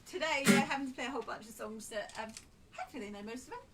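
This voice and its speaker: A woman's voice: high voice, animated, racing, distant mic, loud music behind the voice. A woman in a band, chatting with viewers during a live stream, teasing and laughing as she talks. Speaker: band member and co-host.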